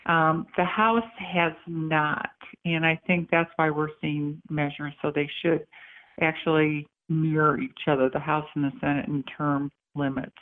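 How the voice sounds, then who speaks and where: Female former legislator: low, flat voice, phone-quality recording. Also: measured pace. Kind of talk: interview clip. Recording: tinny phone line.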